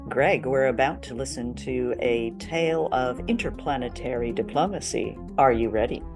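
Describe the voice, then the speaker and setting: A woman's voice: a bass voice, normal speed, music in the background. A woman hosting a show with a scripted introduction. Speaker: host.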